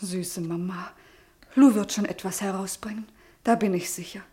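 Actress as mellow, gentle young daughter. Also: female voice, medium pitch, steady tone, normal speed, close to an everyday voice. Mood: soothing, confident. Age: young adult. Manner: soft, reassuring. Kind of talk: German radio drama acting.

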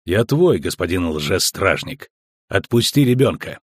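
A man voicing a calm, ironic monster hunter who speaks slowly and quietly in an audiobook. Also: breathy edge, no echo, full character voice. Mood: resigned firmness. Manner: slow, low-key, ironic.

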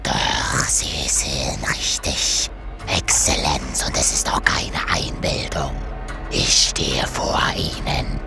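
Very deep, whispering vengeful ghost. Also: male voice, steady tone, full character voice. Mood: eerie, menacing. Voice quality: hoarse, breathy whisper, gravelly. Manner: slow, solemn, menacing. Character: vengeful beheaded ghost of an executed innocent man. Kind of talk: German radio crime drama acting. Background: ominous music.

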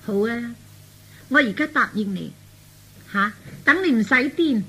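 Man voicing a bright, husky, scheming young woman. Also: extremely high pitch, animated, a little slow, full character voice. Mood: scornful, indignant. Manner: scolding, taunting, emphatic. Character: sharp, scheming young woman, a jilted fiancée. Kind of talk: Cantonese radio comedy acting.

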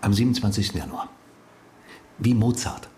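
An actor in a German radio drama playing a returned husband, controlled, evasive and quietly menacing. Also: male voice, fairly low pitch, animated, normal speed, lightly acted. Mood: strained, hesitant.

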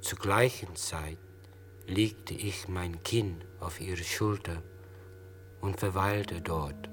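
An actor playing a tender, furtive lover narrating a seduction in literary radio play style. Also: male voice, low-pitched, steady tone, takes it slowly, slight background noise. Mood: tender, intimate.

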